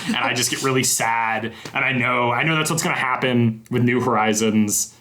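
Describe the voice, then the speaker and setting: A man's voice: medium pitch, fairly even intonation, normal speed, sharp tone. A young man hosting a casual podcast interview, chatty and rambling with lots of fillers and restarts. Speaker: podcast host.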